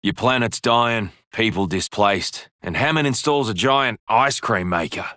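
An actor playing a brash, roguish middle-aged Australian mercenary in a video game, playful in delivery. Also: male voice, on the low side, unhurried, pushed and bright, no echo, clean studio sound. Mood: teasing disbelief.

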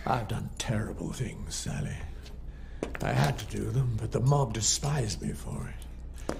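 An actor playing a possessive, menacing older man in a video game, brooding and theatrical, with a gravelly voice. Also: on the low side, big pitch swings, unhurried, heavy background noise, exaggerated voice. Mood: bitter. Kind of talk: dramatic video game acting.

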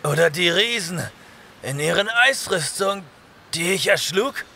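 A man acts a young barbarian warrior for an audio drama. His voice is forceful and gravelly, brooding in slow, halting phrases, exhausted yet intense. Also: sits high, lively intonation, a little hiss or hum, heavily acted. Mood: insistent. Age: young adult.

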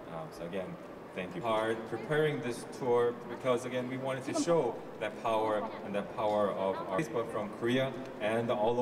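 A man interpreting remarks into English at a press conference, measured and slow. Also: mid-range voice. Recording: distant roomy echo, audible noise.

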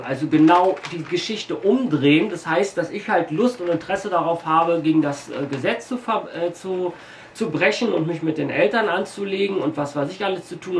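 A man in his forties from Berlin with a light Berlin accent, casually telling anecdotes to camera, checking his notes and pausing often with fillers. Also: sits high. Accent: light Berlin German. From Berlin, Germany. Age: 40s. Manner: chatty, reads from notes, frequent pauses and fillers. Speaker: video host telling shop stories. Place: small room packed with shelves, indoors. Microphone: camera mic a few metres away. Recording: roomy, distant camera audio with faint hiss.

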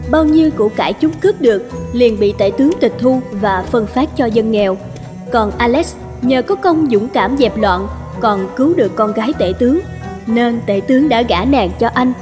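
A woman's voice: fairly high pitch, animated, moderate pace, long reverb tail, over music. A woman narrating a fairy tale as a storyteller, reading from a script.

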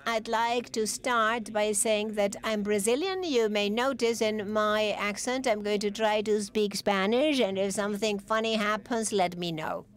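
A woman giving live interpretation of a technical conference talk, in halting, stop-start phrases with pauses and restarts. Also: medium pitch.

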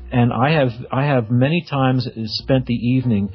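A man's voice: mid-range voice, normal speed, slightly rough, soft background music. A man hosting a radio show, interviewing in a casual, conversational style. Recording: narrow, phone-like radio sound.

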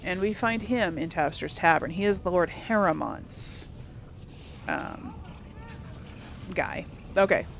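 A woman's voice: on the low side, animated, slow, gravelly, pauses often, slight background noise. A woman hosting a casual let's-play, reading lore entries aloud and thinking aloud with many ums.

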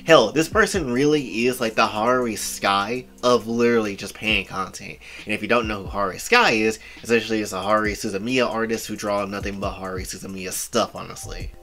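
A man in his early twenties hosting a casual anime art commentary, rambling with repeated filler phrases and sudden loud outbursts. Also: on the low side, fairly even intonation, a little fast, hardly any pauses. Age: 20s. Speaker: channel host.

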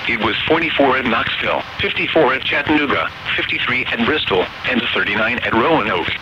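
A synthetic male voice with an American accent reads scripted weather bulletins as an announcer, formal, measured and impersonal. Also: medium pitch, expressive, a loud crowd. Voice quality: synthetic text-to-speech voice. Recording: dull, noisy weather radio broadcast.

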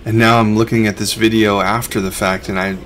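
A man's voice: lowish voice, little pitch movement, brisk, fairly bright tone. A middle-aged man, a reseller who hosts a vlog, narrating over his footage in a laid-back, conversational way.

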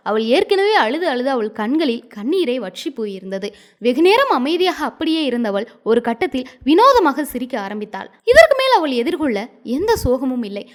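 A woman storyteller narrating a scripted moral tale in a dramatic, expressive manner. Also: sits high, normal speed.

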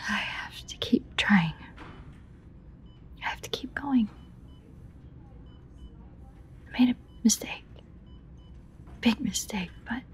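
A woman playing an obsessive, guilt-ridden yandere lover in a whispered audio roleplay, halting, breathy, intimate and pleading. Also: a little high. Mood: guilty, anguished, then desperate, pleading. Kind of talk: whispered dramatic audio roleplay addressed to a listener.

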